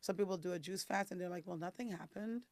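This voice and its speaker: Raspy-voiced woman explaining plainly. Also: on the low side, quick pace, a little mellow, dry recording. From Caribbean. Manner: explains in plain steps, frequent ums. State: hoarse from detox. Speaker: guest, detox specialist. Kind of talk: casual interview talk.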